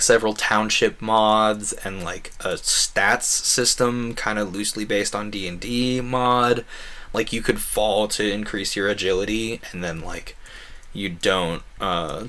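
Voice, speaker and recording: A young man streaming a game with casual, rambling commentary, animatedly acting out bits of conversation as he talks. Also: lowish voice. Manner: animated, acts out quoted conversations.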